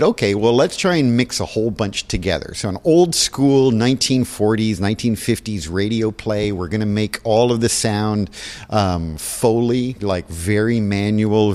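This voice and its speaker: A man's voice: lowish voice, darkish tone. A man explaining things earnestly and enthusiastically in a conversational interview. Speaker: theater technical director and stagecraft instructor. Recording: reverberant hall-like room.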